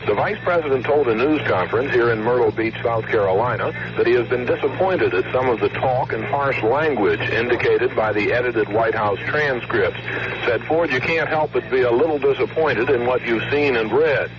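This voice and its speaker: A man's voice: mid-range voice, normal speed, hardly any pauses. American man, news correspondent, scripted field report, mid-range voice, dull lo-fi radio audio.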